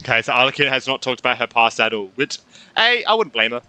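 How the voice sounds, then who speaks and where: A young man giving casual let's-play commentary, excitable and rambling in asides as he streams a game. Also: mid-range voice, fast, smooth. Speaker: gaming streamer.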